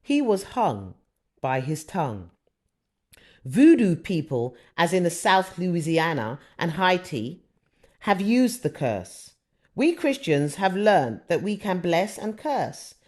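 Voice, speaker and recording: Female audiobook reader for faith-based teaching text; animated, wide-ranging pitch, deliberate and emphatic delivery.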